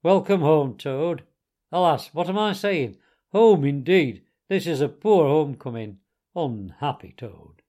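A man puts on a solemn old male badger from a storybook. He speaks gravely and ponderously, in a gruff, weighty voice. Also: on the high side, animated, a little slow, warm tone.

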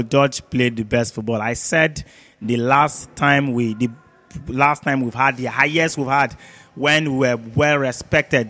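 A man's voice: medium pitch, fairly bright tone, slight background noise. A man with a Nigerian accent debating sport as a podcast panelist, emphatic and argumentative, repeating words and throwing out rhetorical questions.

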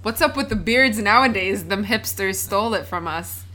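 A woman's voice: medium pitch, moderate pace, sharp tone, a little hiss or hum. A young woman from Palestine, a podcast guest answering questions in an earnest, thoughtful way with frequent fillers. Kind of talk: casual interview conversation.

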